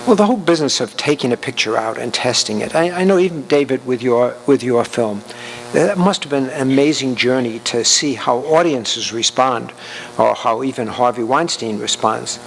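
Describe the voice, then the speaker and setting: A man's voice: medium pitch, lively intonation, vocal fry. A man with a mid-range voice puts questions to a panel of filmmakers and feels his way through each one. His voice carries a long hall reverb and a faint hum behind it. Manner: searching, halting questions. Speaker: panel moderator. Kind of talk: moderating a panel.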